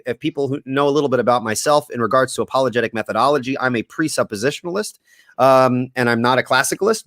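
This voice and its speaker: A man's voice: mid-range voice, fairly bright tone, dry recording, studio quality. A man from Puerto Rico hosting a podcast interview, chatty and joking, with many ums and restarts. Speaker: podcast host. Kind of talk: casual interview chat.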